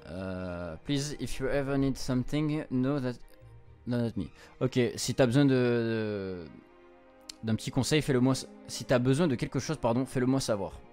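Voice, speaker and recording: A man's voice: mid-range voice, moderate pace, warm tone. A young man gives a casual let's-play commentary at a close mic. He reads and translates game text on the fly, informally and with some stumbling. Game music plays behind his voice. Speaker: streamer, let's-play host.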